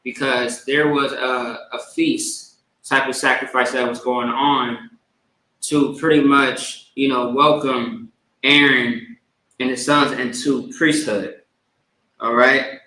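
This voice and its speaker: A man's voice: mid-range voice, steady tone, slow pace, sharp tone. A man leading an online religious service as a priest, teaching and often checking in with short tag questions. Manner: repeatedly checks in with "all right?" and "okay?". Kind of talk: religious teaching, live-streamed sermon. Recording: echoey room.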